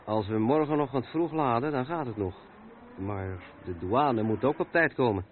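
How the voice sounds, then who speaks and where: An actor the playing a seasoned, patient truck driver who explains things matter-of-factly in a radio play. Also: male voice, medium pitch, normal speed, lightly acted. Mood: impatient, concerned. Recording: tinny, noisy old radio recording.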